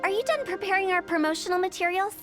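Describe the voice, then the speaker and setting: A woman's voice: extremely high pitch, fast talker. A woman voicing a young female funeral parlor director in a game, a playful schemer with teasing sales patter. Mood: breezy. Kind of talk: game voice acting. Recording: game audio with clear music.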